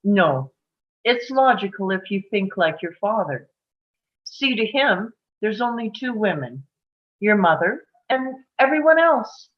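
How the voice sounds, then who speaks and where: A woman acting a wistful, lovelorn small-town woman in a table read, with an earnest, halting, emotional delivery. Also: medium pitch, very animated, dull audio. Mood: wry, reflective.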